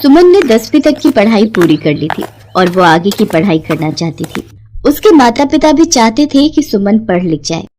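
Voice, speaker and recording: A woman's voice: a little high, sing-song, normal speed, background noise. A woman narrator reading a scripted moral story.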